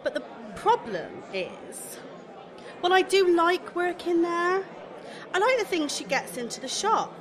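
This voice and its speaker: High, bright young shop girl. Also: big pitch swings, measured pace, a faint crowd, full character voice. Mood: chatty, defensive. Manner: colloquial, gossipy, blunt. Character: chatty, aggrieved young shop assistant in seaside town. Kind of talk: radio play comedy acting.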